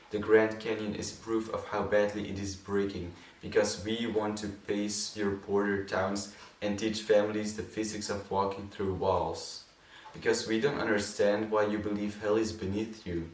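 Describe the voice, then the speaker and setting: A young man with a Dutch accent, a non-native English speaker, hosting a poetry channel, talking gently and hesitantly and reading poems aloud. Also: lowish voice, recorded from a distance. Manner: gentle, unhurried, hesitant asides with many fillers. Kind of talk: soft spoken-word poetry reading. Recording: echoey room, set back from the mic.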